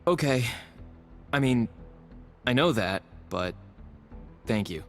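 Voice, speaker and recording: An actor playing an earnest, unsure young clone squad member in a video game, speaking hesitantly and haltingly. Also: male voice, medium pitch, animated, in a large hall, over music. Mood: earnest unease.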